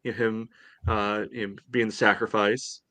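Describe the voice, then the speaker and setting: A man co-hosting a sports podcast, chatting casually in a halting way with lots of filler phrases. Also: on the low side, little pitch movement, bright, forceful tone, no room sound. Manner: halting, peppered with "you know".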